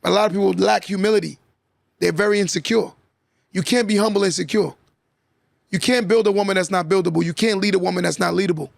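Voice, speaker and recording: A man's voice: high-pitched, quick pace, vocal fry, no room sound, clean studio sound. A man hosting a podcast, lecturing his listeners emphatically and repeating his key lines for effect. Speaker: podcast host. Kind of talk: casual podcast talk, motivational monologue.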